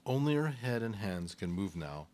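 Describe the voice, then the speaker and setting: A man's voice: lowish voice, big pitch swings, normal speed, a little mellow. A man narrating stage directions for a live radio play in a scripted, read-aloud style.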